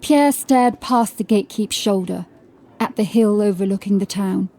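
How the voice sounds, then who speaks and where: A woman narrating scripted horror fiction as a dramatic storyteller, with a mellow voice. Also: medium pitch, moderate pace.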